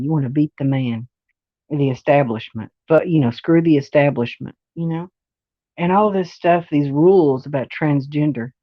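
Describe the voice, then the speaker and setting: A woman's voice: low voice, dry recording, dull audio. A woman in her forties giving a casual, rambling personal monologue, often circling back to repeat points. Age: 40s. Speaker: vlogger sharing personal experience.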